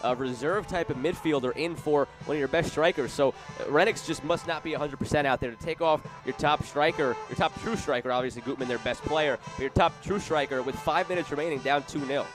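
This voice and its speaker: Fast-talking male soccer color commentator. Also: a little high, music in the background, poor audio. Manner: conversational, explanatory. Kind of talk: live radio soccer analysis.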